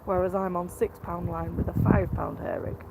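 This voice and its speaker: A woman talks in a low, croaky voice, hoarse from a cold, with a gravelly rasp to her tone. She chats casually to live-stream viewers from beside a lake. Steady outdoor noise hisses in the background. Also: normal speed. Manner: speaks quietly, greets viewers. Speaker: vlog host live-streaming fishing.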